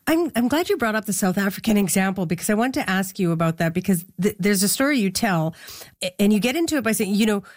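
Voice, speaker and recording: A woman hosting an interview in a conversational way, measured and attentive as she builds up to her questions. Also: mid-range voice, lively intonation, no echo, clean studio sound. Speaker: interviewer, host.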